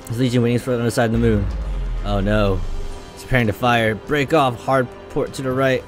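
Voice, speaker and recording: A man voicing a brisk, alert female starship officer with urgent, clipped delivery in a sci-fi game. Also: medium pitch, fairly even intonation, soft background music, pitched above the performer's own voice, natural voice. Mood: urgent alarm.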